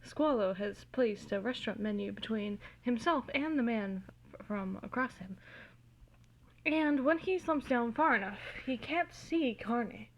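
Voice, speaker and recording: A woman giving an amateur, theatrical and giggly dramatic reading of fan fiction, recorded in low quality on a phone. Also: expressive, unhurried, dull audio. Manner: giggly, theatrical, easily cracking up.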